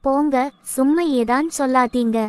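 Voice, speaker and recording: Woman acting a modest daughter-in-law, polite, high-pitched, crisp, dry recording, animated short. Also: moderate pace, no room sound, natural voice. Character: modest, dutiful elder daughter-in-law who cooks.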